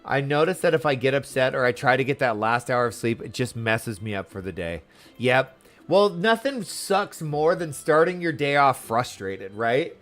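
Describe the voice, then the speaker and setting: A man's voice: medium pitch, sing-song, normal speed, fairly bright tone, soft background music. A man chatting casually and animatedly as he streams a video game, rambling from thought to thought. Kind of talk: casual livestream chat over gameplay.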